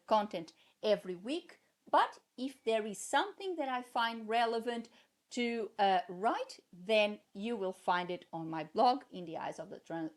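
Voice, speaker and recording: Woman, Portuguese accent, native Portuguese speaker, casual vlog, halting, passionate. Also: medium pitch. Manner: halting, many fillers and restarts, passionate. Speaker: translator and vlogger. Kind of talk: casual vlog talk to viewers.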